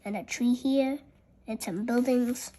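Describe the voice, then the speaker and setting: A storyteller reading a picture book aloud in a careful, halting way, with childlike, chatty asides about the pictures. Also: medium pitch, steady tone, warm tone, slight background noise.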